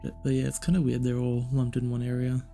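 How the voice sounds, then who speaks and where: A man's voice: medium pitch, monotone, fast talker, vocal fry, mellow. A man giving casual, puzzled, hesitant gameplay commentary, trailing off and repeating himself. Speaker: gaming video narrator. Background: game music.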